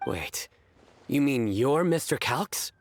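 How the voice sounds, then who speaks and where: A man's voice: medium pitch, very animated, slow pace, a little hiss or hum. A man voicing a serious, proud young card-game devotee in a video game, grave and formal in his wording. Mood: taken aback. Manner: grave, formal, literal-minded.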